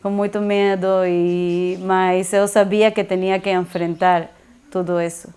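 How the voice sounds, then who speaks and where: Uma jovem adulta do Equador, nativa em espanhol, dá seu testemunho num português hesitante com sotaque equatoriano, misturando palavras espanholas. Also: female voice, on the low side, flat delivery, darkish tone. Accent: Ecuadorian Spanish. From Ecuador. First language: Spanish. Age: young adult. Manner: halting, mixes Spanish words into Portuguese. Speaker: resident sharing her testimony. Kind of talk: personal testimony.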